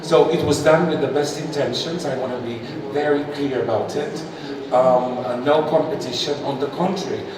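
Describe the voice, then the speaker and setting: A man from Bulgaria, an art advisor speaking candidly and self-deprecatingly at a press conference. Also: medium pitch. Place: large historic hall. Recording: echoey hall, distant mic, background noise.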